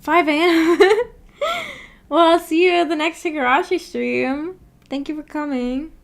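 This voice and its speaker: A woman's voice: sits high, steady tone, unhurried, vocal fry. A woman chatting casually on a livestream and reading viewer comments aloud in a playful, giggly manner. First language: English. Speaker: streamer hosting a book club. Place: indoor livestream with animated avatar.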